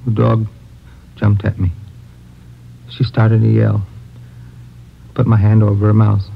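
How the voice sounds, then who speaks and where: An actor playing a frightened, cornered male killer who pleads haltingly, in 1950s radio crime drama style. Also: on the low side, flat delivery, creaky. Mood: broken, confessing. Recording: muffled, noisy vintage radio recording.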